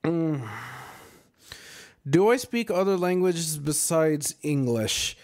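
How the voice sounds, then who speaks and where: A man in his twenties, tired and relaxed, answering chat questions in a rambling, casual live stream from a small room at home on a boom-arm mic. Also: slow pace. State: exhausted. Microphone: studio mic on boom arm, headset on.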